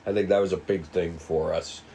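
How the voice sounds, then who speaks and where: A man getting over a cold, co-hosting and explaining in a casual, rambling, halting way. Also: deep voice, fairly even intonation, dark tone, a little hiss or hum. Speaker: co-writer and co-host introducing a script table read.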